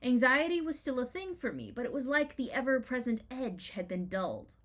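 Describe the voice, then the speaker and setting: A woman writer reading her own personal essay as a dramatic reading, in an expressive, deliberate storytelling manner with emphatic rhetorical questions. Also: sits high.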